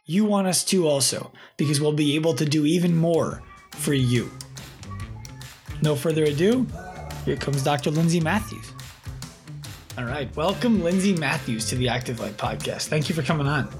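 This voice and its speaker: A man's voice: a little high, expressive, moderate pace, a little mellow, faint music behind. A man hosting a podcast, talking emphatically and often checking in with "right?". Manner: emphatic, preachy, repeats "right?". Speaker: podcast host. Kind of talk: casual podcast chat.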